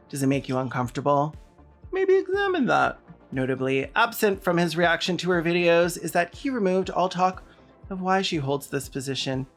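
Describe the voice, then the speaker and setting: Sarcastic video essayist, mid pitch with lots of vocal fry, music underneath, mocking political rant. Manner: sardonic, mocking. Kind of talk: scripted sarcastic political commentary.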